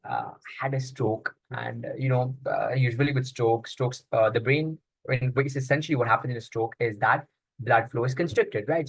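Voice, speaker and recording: A young man raised in Congo talks through his answers with a steady, mid-range voice. He explains things patiently, often pausing on fillers and restarting his sentences. The sound is close and dry, with no room echo. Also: mid-range voice. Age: young adult. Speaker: guest. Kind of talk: casual interview answers.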